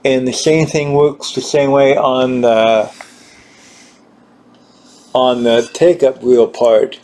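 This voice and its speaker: A man giving an informal hands-on equipment demonstration, halting and repeating himself as he searches for words. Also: medium pitch, flat delivery, darkish tone. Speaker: gear demonstrator.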